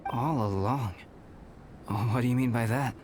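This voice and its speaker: A man voicing a calm, aloof, intellectual young scholar and artist in a video game, measured and formal. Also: medium pitch, heavy background noise, lightly acted. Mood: mildly curious. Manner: measured, formal, precise, gently detached.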